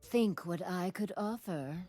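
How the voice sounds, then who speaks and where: A woman voicing a seductive, scheming half-snake villainess for a video game, with a sultry, teasing, unhurried delivery. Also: on the low side, mellow, dry recording, faint music behind. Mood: sultry, menacing. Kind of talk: video game character voice lines.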